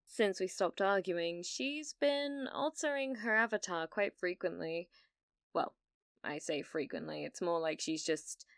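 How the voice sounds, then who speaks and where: A woman plays an obsessive young British gamer in an ASMR roleplay. Her mid-pitched voice is smooth and crisp, recorded very dry. She stammers and hesitates with a nervous chirpiness, sounding needy and delusional while staying blithely casual about dark things. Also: dry recording. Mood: chirpy, unsettling.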